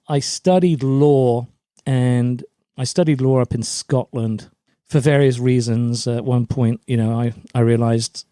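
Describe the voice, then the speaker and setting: A man's voice: mid-range voice, dark tone, dry recording, studio quality. A middle-aged man from Germany, raised in a British forces family, giving chatty, anecdotal answers as an interview guest. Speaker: podcast guest, LinkedIn brand coach.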